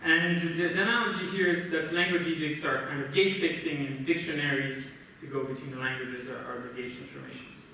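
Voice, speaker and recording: Man, Brazilian accent, Portuguese native, slightly high voice, halting technical lecture, distant mic, faint noise, conference speaker. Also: sharp tone. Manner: halting, explanatory, often checks with "right?". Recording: roomy, noisy conference hall, distant mic.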